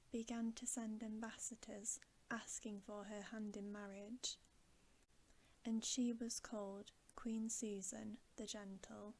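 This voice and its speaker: A woman reading a bedtime story for ASMR in a soft, gentle, breathy voice that often drops to a whisper. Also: a little high, low-quality recording. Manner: hushed, unhurried, gentle. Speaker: ASMR reader.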